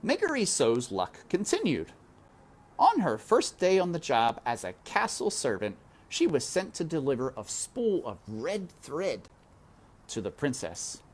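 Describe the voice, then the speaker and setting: Woman narrator for children's read-aloud: very low voice, highly animated, unhurried. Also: slightly rough. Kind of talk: expressive children's book narration with asides.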